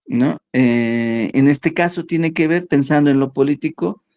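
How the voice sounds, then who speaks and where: Slow, halting male lecturer. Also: on the high side, a little mellow, dull audio. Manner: slow, halting, with frequent "¿no?" and "¿ya?" checks. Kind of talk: philosophy workshop lecture.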